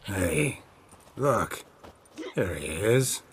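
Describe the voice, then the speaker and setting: An actor playing a weary, dry-witted monster hunter in a video game, laconic and deadpan. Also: male voice, fairly low pitch, extremely slow, faint music behind, exaggerated voice.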